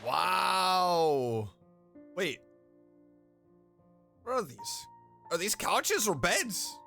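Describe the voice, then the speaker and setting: A woman streaming a game with casual, amused, expressive reactions. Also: lowish voice, extremely slow, vocal fry, faint music behind. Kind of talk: casual playthrough commentary.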